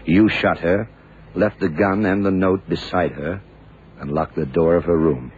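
Very deep hard-boiled radio detective. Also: male voice, steady tone, measured pace, darkish tone. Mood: stern, accusing. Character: shrewd, hard-boiled blind male detective confronting killers. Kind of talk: 1970s radio drama acting. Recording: muffled, noisy vintage radio recording.

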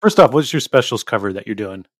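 Low-voiced man hosting a podcast. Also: sing-song, fast. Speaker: podcast host and interviewer. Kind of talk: scripted introduction and casual interviewing.

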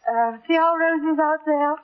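A sharp-tongued young gentlewoman of a country house in an old radio play. Her voice is high, with an arch, dry tease in it, and the broadcast sounds narrow and muffled. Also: a little slow. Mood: arch, cutting. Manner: arch, dryly teasing. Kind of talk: 1940s radio drama acting.

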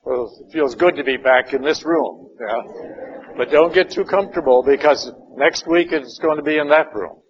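A man leading a Bible study class in a church meeting room, first praying and then teaching in an unhurried, conversational way, a little raspy from a cold. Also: fairly high pitch, dull audio, slight background noise. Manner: unhurried, conversational, with frequent "uh" and "all right". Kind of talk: opening prayer then informal Bible lesson.

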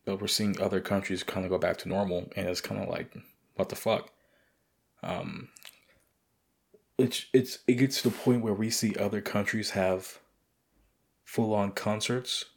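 Young man, raspy gravelly voice, very low, rambling profane podcast talk. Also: steady tone, measured pace, long pauses. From United States. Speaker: podcast host. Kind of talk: casual late-night monologue.

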